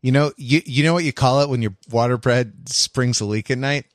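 A man chatting casually as a podcast panelist, in a jokey, halting way. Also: mid-range voice, no room sound, studio quality. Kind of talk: casual chat.

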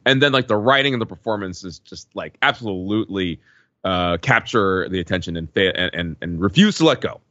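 Low-pitched American man, slightly dull lo-fi mic. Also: lively intonation, a little fast, fairly bright tone, no echo. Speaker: podcast panelist. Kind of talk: casual conversational recap.

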